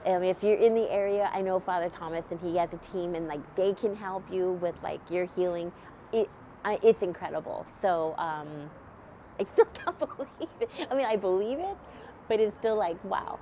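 Middle-aged woman, animated testimony to camera outdoors, slight nasal cold, faint traffic behind. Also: on the low side, normal speed. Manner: animated, many fillers, gesturing as she talks. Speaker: nonprofit founder. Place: outdoors at a church event, near a street.

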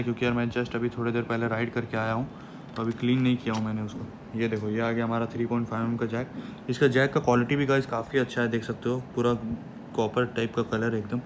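A man's voice: medium pitch, quick pace. A young man in a casual vlog, walking viewers through a gear setup step by step, chatty and repetitive, calling them "guys". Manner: chatty, repeats words, says "guys" often. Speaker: motovlogger. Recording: steady background noise.

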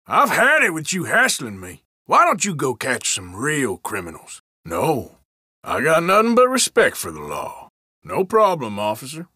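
A defiant Old West outlaw from a video game. He speaks in an unhurried American Western drawl, surly and evasive, with an edgy, forceful tone and pitch that swings widely. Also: dry recording, clean studio sound, exaggerated voice. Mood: irritated, defiant, then protesting innocence. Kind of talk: video game character voice lines.

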